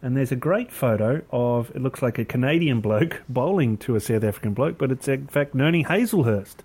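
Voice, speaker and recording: A man's voice: mid-range voice, animated, a little fast, a little mellow, slightly rough. An Australian man hosting a cricket podcast, joking with his mates and rattling off mock introductions and lists. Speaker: podcast host. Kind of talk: casual banter with mates. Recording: online call audio.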